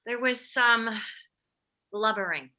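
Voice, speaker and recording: A woman's voice: on the high side, takes it slowly, sharp tone, full character voice. A woman playing an anxious, overprotective middle-aged mother with a combative streak, in a comedy script read. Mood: worried.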